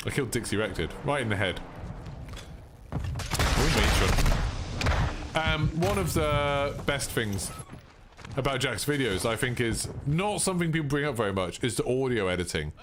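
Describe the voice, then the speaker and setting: A man with a British accent chatting casually and calmly to viewers while playing a game, with a deep voice. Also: normal speed, pauses often, very noisy. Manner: laid-back, chilled delivery. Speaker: gaming streamer. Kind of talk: casual live-stream chat over gameplay. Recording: gameplay gunfire and clicks behind.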